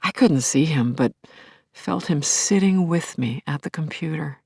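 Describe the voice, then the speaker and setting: A woman playing a middle-aged grieving widow in an audio play, telling her story as an intimate, expressive and reflective monologue. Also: low voice, unhurried, vocal fry, breathy edge, no room sound, studio quality, full character voice. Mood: wondering, happy. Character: grieving widowed scientist, wistful, wry, tender, anxious. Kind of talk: intimate dramatic first-person monologue.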